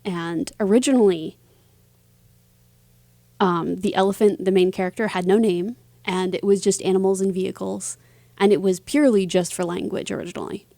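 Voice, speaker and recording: A woman's voice: medium pitch, steady tone, normal speed, vocal fry, mellow, no room sound. A woman author speaking casually as a guest in an interview.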